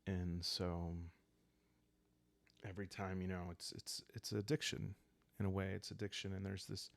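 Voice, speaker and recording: A man speaking softly and calmly, improvising a story for a solo roleplaying game and thinking aloud hesitantly. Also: deep voice, vocal fry, no echo. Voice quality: soft, calm. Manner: hesitant, thinking aloud with long pauses and sighs. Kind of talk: calm unscripted storytelling for sleep, journaling game playthrough.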